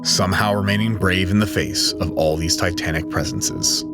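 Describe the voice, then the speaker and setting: A man with a low voice reads a story as dramatic, scripted narration. Music plays clearly behind him. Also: fairly even intonation, moderate pace. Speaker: narrator reading a visual novel aloud.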